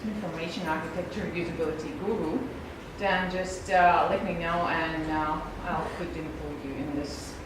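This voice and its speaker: A woman's voice: lowish voice, fairly even intonation, moderate pace, few pauses. A woman asking a question and making an announcement at a meetup, rambling with many fillers. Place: lecture hall. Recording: echoey hall, distant mic, loud noise.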